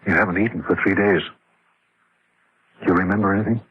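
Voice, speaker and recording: An actor playing a worried, skeptical husband with a rough, husky voice in an old radio horror drama. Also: male voice, low voice, steady tone, fast talker, highs cut off, slight background noise, close to an everyday voice. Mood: worried, doubtful. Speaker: actor and first-person narrator in a radio drama.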